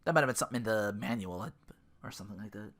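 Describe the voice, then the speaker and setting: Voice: medium pitch, very fast, fairly bright tone. A podcast host reading fan fiction theatrically, breaking off now and then for casual asides. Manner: theatrical, self-interrupting.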